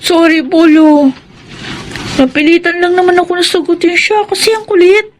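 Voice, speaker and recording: An actress playing a meek, apologetic teenage granddaughter in a radio drama, with a Filipino accent. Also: female voice, very high voice, steady tone, moderate pace, slight background noise. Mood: sheepish.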